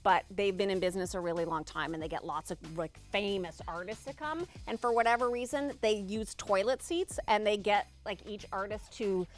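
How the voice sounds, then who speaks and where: A woman hosting a casual festive show, chatting in an expressive, exclaiming way. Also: mid-range voice, brisk, few pauses, soft background music. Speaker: show host.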